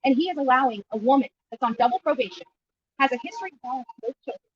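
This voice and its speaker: A high-voiced woman talks rapidly and insistently, like an activist answering the press. She is indignant and leans on repeated phrases to drive her point home. The audio is muffled and lo-fi. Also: animated, breathy edge, no echo, far from the mic. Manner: emphatic, indignant, repetitive phrasing. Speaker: YouTube livestreamer and missing-person activist being interviewed. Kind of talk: impromptu press interview. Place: at a county jail, press interview.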